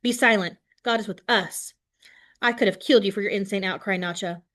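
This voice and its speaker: A woman voicing a cruel middle-aged former friend who speaks calmly and coldly. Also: medium pitch, a little fast, lightly acted. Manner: calm, chilling.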